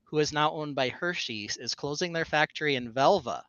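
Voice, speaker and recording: A man's voice: a little high, normal speed, fairly bright tone, no room sound, lo-fi sound. A man co-hosting a casual live stream, rambling along with plenty of fillers and restarts. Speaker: co-host.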